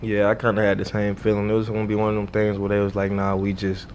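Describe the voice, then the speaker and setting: A man's voice: completely flat delivery, quick pace, darkish tone. A young man, a college athlete, chatting casually as an interview guest. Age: young adult. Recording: background noise with low rumble.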